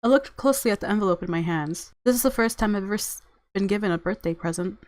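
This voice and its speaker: A woman's voice: mid-range voice, fast talker, darkish tone, no room sound. A woman narrating a visual novel aloud as a let's-player, mixing story reading with casual commentary.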